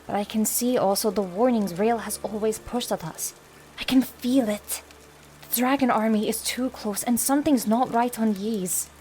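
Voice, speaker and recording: A woman playing a solemn, wary druid who speaks in a grave, measured, formal way in a fantasy audio drama. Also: a little high, mellow, a little hiss or hum, natural voice. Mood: troubled.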